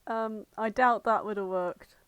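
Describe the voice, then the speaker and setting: A woman co-hosting a podcast in casual banter, blunt, sweary and quick to argue. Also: mid-range voice, steady tone, clear, resonant tone, dry recording. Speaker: podcast co-host.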